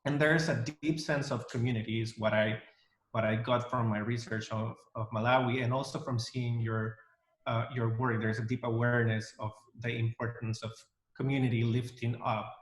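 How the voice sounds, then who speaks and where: A man's voice: medium pitch, little pitch movement, moderate pace, echoey room, rough recording. A man hosting an interview over a video call, speaking in a welcoming way with many fillers and restarts. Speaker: interview host. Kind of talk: casual interview.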